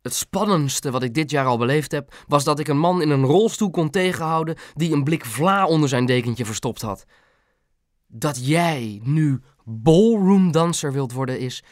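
A man's voice: medium pitch, no echo, studio quality, close to an everyday voice. A man voicing a plain-spoken, henpecked father with an earnest manner in an audiobook. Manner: earnest, meek with his wife. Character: plain-spoken, henpecked working-class dad, security guard. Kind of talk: audiobook character voice.

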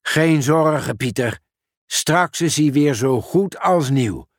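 A man's voice: fairly high pitch, expressive, slow pace, dry recording, clean studio sound. A man reading a storybook aloud, voicing a confident, reassuring male handyman boss. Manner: reassuring, self-assured.

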